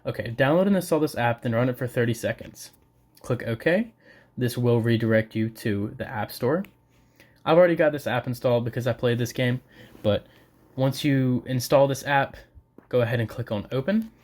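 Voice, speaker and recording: A man's voice: medium pitch, moderate pace, a little mellow. A young man narrating a casual step-by-step tutorial, speaking informally and directly to his viewers. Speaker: video creator giving a tutorial.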